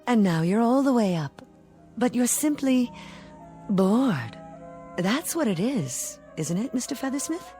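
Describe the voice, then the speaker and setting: An actress playing a suave devil posing as a travel agent in 1960s television drama, speaking with poised, formal precision and cool teasing. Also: female voice, on the high side, very animated, measured pace, dark tone. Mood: smooth, persuasive. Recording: old TV soundtrack with background music.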